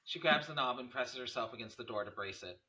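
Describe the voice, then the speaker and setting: A man's voice: medium pitch, fast talker, sharp tone, recorded from a distance, rough recording. A man reading stage directions as scripted narration at a screenplay table read.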